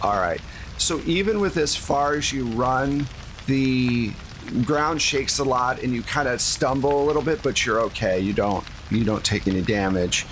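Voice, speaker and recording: A man's voice: medium pitch, animated, normal speed, fairly bright tone, a little hiss or hum, poor audio. A man narrating a tabletop roleplaying game as game master in a casual, conversational way.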